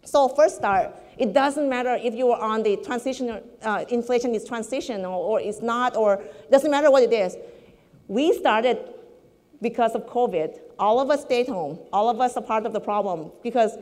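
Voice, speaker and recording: Thai-accented woman in her 40s, economist keynote, fairly high voice, light self-deprecating humor, long hall reverb. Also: normal speed, darkish tone. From Thailand. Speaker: keynote speaker, corporate economist. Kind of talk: conference keynote presentation. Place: large event hall.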